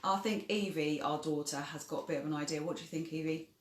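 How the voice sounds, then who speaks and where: A woman's voice: low-pitched, fast talker, a little hiss or hum. A middle-aged woman chatting casually as she introduces and co-presents children's stories. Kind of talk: casual spoken introduction and chat. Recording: echoey room.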